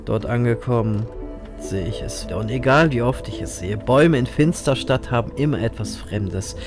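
An actor playing a calm, laconic ferryman hero, telling and acting a noir audio drama. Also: mid-range voice, moderate pace, music in the background, close to an everyday voice. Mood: brooding narration. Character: male grim-reaper ferryman, laconic city noir hero.

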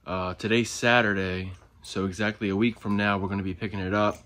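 Casual male vlogger, twenties, low voice with flat delivery, laid-back car-build narration on a handheld phone. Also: brisk. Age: 20s. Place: home garage workshop, indoors. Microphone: handheld phone at arm's length.

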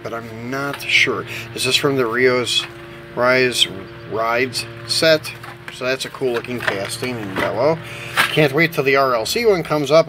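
A middle-aged American man giving casual, informal unboxing commentary with rambling asides. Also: mid-range voice. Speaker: toy car collector hosting an unboxing. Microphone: handheld camera or phone mic. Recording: home camera with clear background noise.

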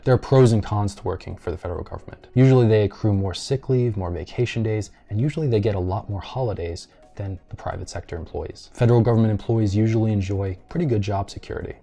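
A man in his thirties hosting a scripted explainer, with a measured delivery that leans on the start of phrases. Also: fairly low pitch, dark tone. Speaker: host of a careers video channel. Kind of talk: scripted explainer narration to camera. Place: small furnished room at home, seated at a table.

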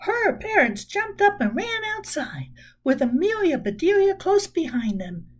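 Casting: woman in her 60s, children's storyteller, high swooping voice, emphatic delivery. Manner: expressive, deliberate, emphatic storytelling. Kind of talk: reading a children's book aloud. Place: small room at home.

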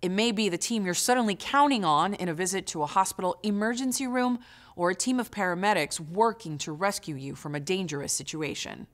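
A young adult woman, the host, giving polished, expressive scripted documentary narration. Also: mid-range voice, brisk.

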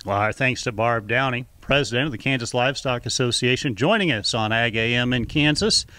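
A man interviewing for a farm radio show, speaking in a measured way with some hesitation. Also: medium pitch, bright, forceful tone, dry recording. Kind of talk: interview wrap-up.

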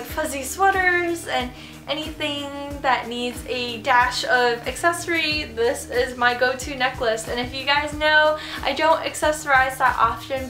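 A woman's voice: sits high, sharp tone. Bubbly, congested young woman vlogging. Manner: bubbly, chatty, enthusiastic. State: congested from a cold. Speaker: fashion vlogger. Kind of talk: casual haul vlog. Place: bedroom, indoors. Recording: roomy echo with background music.

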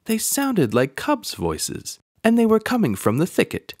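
Male storyteller, children's book read-aloud, expressive, swooping intonation, close dry sound. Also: sits high, unhurried, dry recording. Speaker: narrator.

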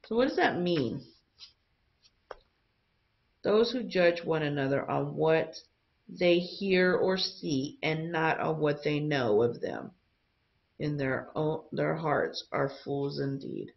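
A woman teacher reading a picture book aloud to young students in an expressive storytelling style. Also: low-pitched, slow pace, gravelly, long pauses, highs cut off.

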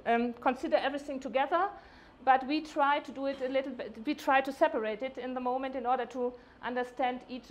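High-pitched woman, halting presenter. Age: middle-aged. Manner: hesitant, halting, self-correcting delivery. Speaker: scientist presenting at a workshop. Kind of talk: conference presentation. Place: indoor workshop meeting room.

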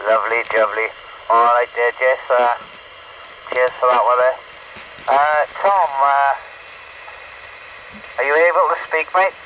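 A man's voice: medium pitch, steady tone, unhurried, pauses often. A man running a CB radio net in casual chat, heard as a radio transmission. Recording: noisy CB radio in open air.

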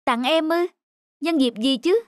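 A woman voicing a sensitive, expressive young woman, an emotional girlfriend in a cartoon. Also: sits high, measured pace, no echo, studio quality, faint music behind. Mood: sweet, hopeful. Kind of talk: cartoon dubbing.

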